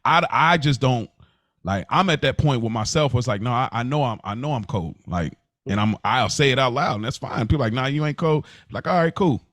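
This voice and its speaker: A man's voice: medium pitch, fairly quick, a bit bright, dry recording, clean studio sound. A man co-hosting a podcast, talking in an informal, slangy, rambling way as he feels out his point. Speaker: podcast co-host. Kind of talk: casual podcast conversation.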